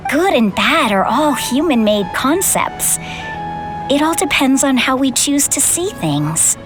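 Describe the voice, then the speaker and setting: A woman voicing a middle-aged widowed mother in a video game, gentle, measured and reassuring. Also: sits high, very animated. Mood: reflective. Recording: game audio with music.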